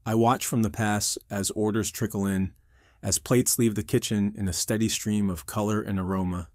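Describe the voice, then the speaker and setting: A man's voice: on the low side, fairly even intonation, moderate pace, a little mellow, no room sound, clean studio sound, close to an everyday voice. A man voicing an anguished army veteran who runs a restaurant, narrating his own story in an intense, earnest audio drama style. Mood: brooding. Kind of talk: dramatic first-person scripted narration.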